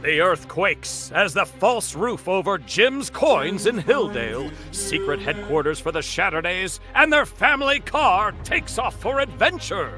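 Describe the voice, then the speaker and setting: A man's voice: high voice, lively intonation, measured pace, few pauses, over music. A man narrating a comic book table read in a dramatic, announcer-like cartoon style.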